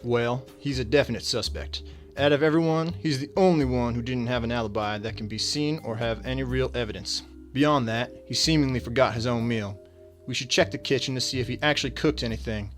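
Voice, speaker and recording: An actor playing a weary, businesslike detective in a radio drama, firm and matter-of-fact. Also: male voice, mid-range voice, fairly quick, faint music behind, close to an everyday voice. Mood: probing, matter-of-fact. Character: weary, businesslike male detective questioning suspects.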